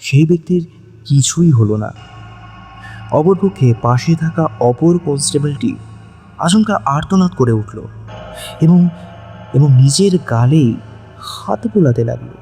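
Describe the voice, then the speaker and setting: A man narrating a dramatic audio story, with measured, suspenseful phrasing. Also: a little high, lively intonation, mellow, soft background music.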